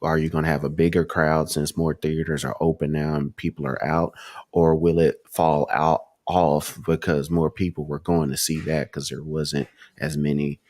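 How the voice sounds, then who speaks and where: A man's voice: extremely low pitch, level tone, normal speed, darkish tone. A man on a discussion panel, thinking aloud in casual conversational speech. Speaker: podcast panelist. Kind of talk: casual speculative chat.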